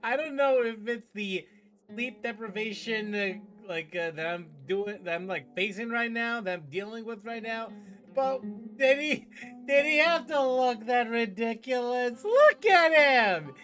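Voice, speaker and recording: A man giving casual, reactive game commentary as a streamer, slangy, joking and excitable. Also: very high voice, unhurried. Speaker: gaming streamer. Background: game music.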